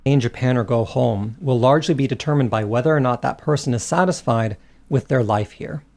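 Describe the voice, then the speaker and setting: A man with a mid-range voice narrates a scripted video essay. He sounds measured and articulate, moving through long, carefully worded sentences. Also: mid-range voice, gravelly, a little mellow.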